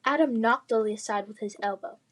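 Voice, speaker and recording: A woman reading children's fantasy fiction aloud in expressive, scripted narration. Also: a little high, a little fast. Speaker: storybook reader.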